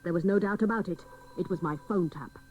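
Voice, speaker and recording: A woman playing a dotty private detective, narrating in deadpan, mock hard-boiled style for comic radio drama. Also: lowish voice, a little fast, phone-quality sound, slight background noise, close to an everyday voice.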